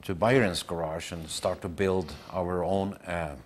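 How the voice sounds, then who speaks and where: A man in his fifties or sixties with a Norwegian accent, answering casually in an interview in halting, simple phrases. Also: deep voice, steady tone. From Norway. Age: 50s to 60s. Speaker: band member being interviewed. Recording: clear background ambient noise.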